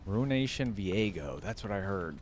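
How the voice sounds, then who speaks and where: A man's voice: on the low side, lively intonation, normal speed, slight background noise. A man hosting a reaction video, commenting informally and enthusiastically as he thinks aloud.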